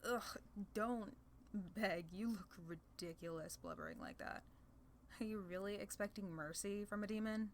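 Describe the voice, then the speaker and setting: A woman's voice: mid-range voice, normal speed, slight background noise, lightly acted. Demon lord, played by a woman: female, mid-register, haughty, amused, condescending, theatrical ASMR roleplay with faint background ambience. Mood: scornful.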